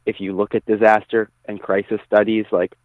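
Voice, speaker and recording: Guy calling into a radio chat, lowish voice, thin phone sound, kinda thoughtful. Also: level tone, brisk, dry recording. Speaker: musician guest. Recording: tinny narrow phone line.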